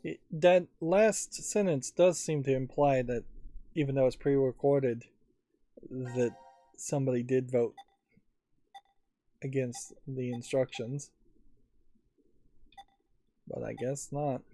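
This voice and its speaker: Slow, mellow man musing over a game. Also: mid-range voice. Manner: halting, thinking aloud. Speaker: let's play host. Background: faint game music.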